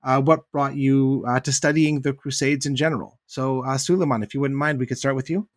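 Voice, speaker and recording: Measured male interviewer, dry sound. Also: medium pitch, steady tone, no echo. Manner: measured, reads prepared introduction. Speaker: podcast host interviewer. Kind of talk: interview hosting.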